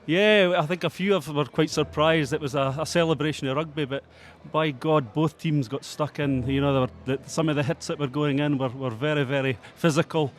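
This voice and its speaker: A man, effusive but halting, interviewed after a match as club president. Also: fairly high pitch, fairly even intonation, slight background noise.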